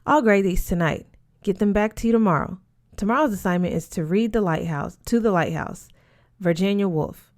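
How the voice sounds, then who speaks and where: A woman voicing an eccentric, absent-minded older male English teacher who talks haltingly and repeats words. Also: mid-range voice, lightly acted. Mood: commanding.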